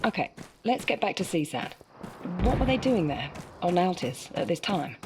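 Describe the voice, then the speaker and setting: A probing interviewer. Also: female voice, fairly low pitch, big pitch swings, normal speed, background noise. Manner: probing, businesslike. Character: probing female journalist interviewer.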